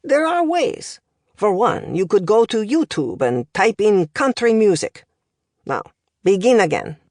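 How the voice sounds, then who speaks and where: A woman's voice: medium pitch, very animated, unhurried, a little mellow, dry recording, clean studio sound, voice pushed up. A woman voicing an older male professor, opinionated and instructive, in an audiobook. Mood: instructive, coaxing. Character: older male Romanian language professor, opinionated, smoking, encouraging.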